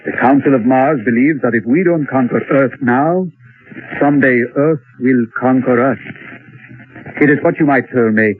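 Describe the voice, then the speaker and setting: An actor playing a formal, measured and condescending Martian invasion leader in an old radio drama. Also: male voice, mid-range voice, slightly rough, close to an everyday voice. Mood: matter-of-fact. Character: coolly polite, superior Martian invasion leader. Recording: tinny, faintly noisy old radio recording.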